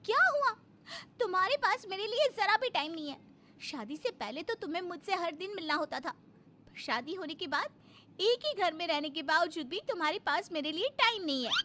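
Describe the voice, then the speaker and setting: Woman acting a shrill, aggrieved young wife. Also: expressive, normal speed, fairly bright tone. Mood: upset. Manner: plaintive, dramatic complaining. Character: aggrieved young wife, nagging, sarcastic, easily hurt.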